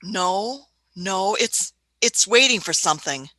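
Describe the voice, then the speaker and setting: Brief: woman as an excitable old alien traveller for a radio play, bright lively voice that swoops in pitch, dry close sound. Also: slow pace, no room sound, exaggerated voice. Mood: wary. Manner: excitable, stammering, fussy. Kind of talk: amateur radio play acting.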